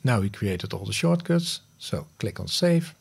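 A man in his fifties narrating a step-by-step software tutorial in short instructional phrases, recorded on a close studio mic. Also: fairly low pitch, very animated, measured pace, creaky, husky. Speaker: host of smart home tutorial videos. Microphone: close studio mic on a boom arm.

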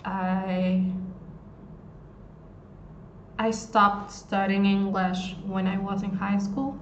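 Slow-talking Argentine woman tutor. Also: medium pitch, fairly even intonation. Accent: Argentine Spanish. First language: Spanish. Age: 20s. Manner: unhurried, searching for words with many ums and restarts. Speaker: Spanish tutor. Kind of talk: live-stream lesson answering a question. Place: small room indoors. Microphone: phone at arm's length. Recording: echoey, dull phone audio with faint noise.

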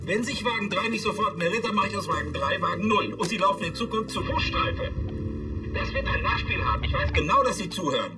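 A man's voice: high voice, animated, brisk, far from the mic, loud music behind the voice. An actor playing a self-important, officious police chief in a crime comedy, scolding and threatening his officers. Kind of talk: German TV crime comedy acting.

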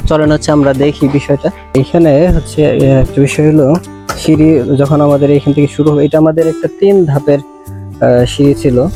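Flat-toned Bangladeshi man explaining, higher-pitched voice. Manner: repetitive, hesitant explaining with frequent filler phrases. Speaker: civil engineer presenting a how-to video. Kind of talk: informal on-site instructional explanation. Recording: music running behind the voice.

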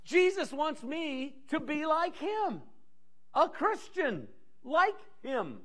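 A middle-aged man preaching a sermon on a church stage, animated, pacing with many restarts. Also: very high voice, slow pace. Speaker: pastor. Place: church stage in a large dim hall.

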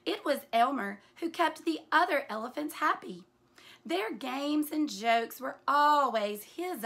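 A woman with a high, bright voice reads a picture book aloud to children. She takes her time over the phrases and tells the story with plenty of expression, like a teacher. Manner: deliberate, animated storytelling with hushed asides. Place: small furnished room indoors.

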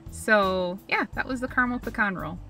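A woman's voice: mid-range voice, moderate pace, creaky, pushed and bright, soft background music. A young woman from Minnesota, co-hosting a food vlog from a parked car, upbeat and giggly as she chats. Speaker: food vlogger co-host. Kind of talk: casual food review chat. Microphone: camera on dashboard.

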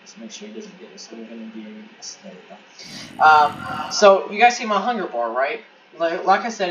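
A man's voice: a little high, lo-fi sound. Casual gamer guy rambling and hyped, repeats himself a lot, mic kinda far away, noisy background. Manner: excitable, rambling, repeats words and restarts. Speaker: gameplay commentator. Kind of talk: casual gaming commentary.

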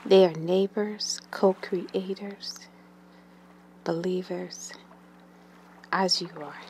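A woman leading a guided meditation in a gentle, soothing manner, with long pauses and breathy phrase endings that trail away. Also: on the low side, steady tone, a little hiss or hum.

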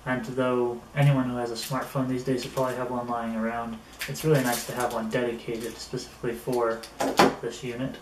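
A middle-aged man talks casually through a product review in an unhurried, mild manner. His pitch stays fairly flat. He is picked up from across a small, echoey room, with clear noise in the recording. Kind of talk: casual unboxing and gear review, talking to camera. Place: small indoor room at a table. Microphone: camera a few metres away. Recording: roomy, distant camera mic with noticeable noise.

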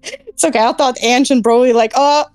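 A woman's voice: high-pitched, normal speed, darkish tone, no room sound, faint music behind. A woman, hosting an online class over a video call in a casual, giggly way full of fillers. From Germany. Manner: chatty, giggly, many fillers. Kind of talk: casual group call chat.

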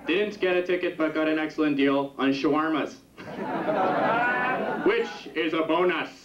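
A young man doing a stand-up comedy set in a club, with a rambling, halting delivery full of restarts. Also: a little high, distant mic, very noisy. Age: young adult. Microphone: handheld stage mic on a stand. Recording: roomy, dull 1991 camcorder tape from the audience. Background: audience laughter.

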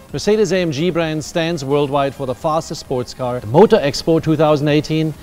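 A middle-aged man delivers a measured, formal corporate address in a slightly raised pitch. Quiet background music runs beneath his steady, prepared reading. It sounds like a studio set recording with a clip-on mic. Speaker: company executive.

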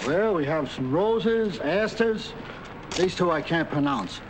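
An actor playing a dry, wisecracking middle-aged street flower vendor in a 1940s film, talking in slangy street speech. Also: male voice, on the high side, expressive, takes it slowly. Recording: hissy 1940s film soundtrack.

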